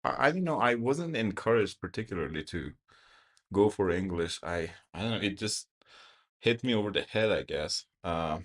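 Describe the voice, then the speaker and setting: A man's voice: on the low side, lively intonation, normal speed, a bit bright. A man in his twenties, a podcast guest, who speaks reflectively while telling stories from his past in a casual chat. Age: 20s. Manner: reflective, storytelling. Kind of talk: casual conversation.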